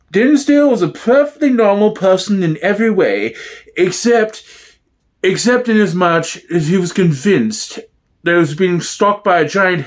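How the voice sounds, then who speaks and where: A man playing a refined, pompous female impersonator who admires a gangster, in a comedy sketch reading. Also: sits high.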